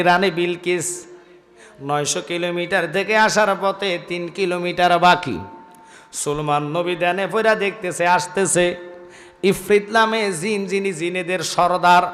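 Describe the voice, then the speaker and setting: High-pitched Bangladeshi male preacher, heavy reverb. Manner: impassioned, declamatory, drawn-out chanting phrases. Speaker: Islamic preacher. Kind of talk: Bengali waz sermon, dramatic storytelling. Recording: long reverb from loudspeaker system.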